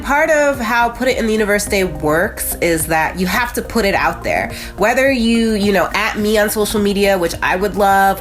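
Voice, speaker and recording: An American woman with a nasal, congested voice, hosting a casual motivational vlog in a chatty, conversational way with frequent asides. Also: mid-range voice. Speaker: host of a motivational video channel.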